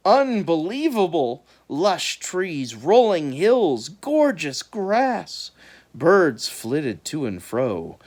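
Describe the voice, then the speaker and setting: A woman reading a children's book aloud in an animated, dramatic storytelling style. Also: deep voice. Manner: dramatic, expressive delivery.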